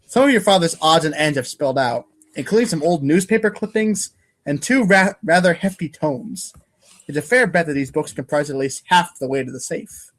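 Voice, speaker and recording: A man's voice: on the high side, animated, moderate pace, fairly bright tone. A man giving a wry, theatrical dramatic reading as a podcast narrator, now and then tripping over long words.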